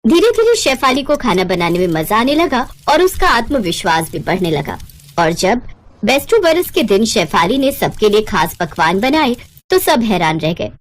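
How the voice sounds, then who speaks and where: A woman's voice: fairly high pitch, sing-song, moderate pace. A woman narrating a scripted moral bedtime story as a storyteller.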